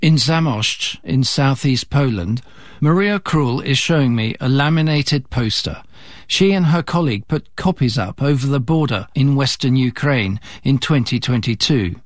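A man's voice: mid-range voice, expressive, moderate pace, no echo, studio quality. A man narrating a scripted news report as a correspondent.

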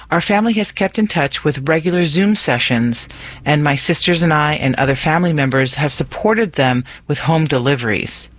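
A woman radio producer narrating and interviewing her family in plain, clear language. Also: deep voice, dry recording.

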